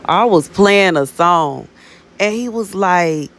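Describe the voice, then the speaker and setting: A woman's voice: fairly low pitch, animated, takes it slowly. Woman in her thirties, rambling spiritual talk. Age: 30s. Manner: rambling, fills with "you know what I'm saying", calls viewers "baby". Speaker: minister sharing prophetic words. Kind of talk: casual spiritual talk to viewers.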